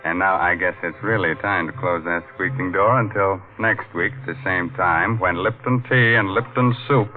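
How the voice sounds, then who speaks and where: A man's voice: sits low, moderate pace, few pauses, phone-quality sound, faint music behind. A man hosting a 1940s radio horror show in a tongue-in-cheek, mock-sinister drawl. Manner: mock-sinister, drawling, chuckling between macabre jokes.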